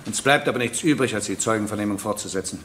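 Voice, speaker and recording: A man's voice: on the low side, quick pace, a bit bright, close to an everyday voice. An actor playing a composed male presiding judge, formal and businesslike, in a German courtroom radio play.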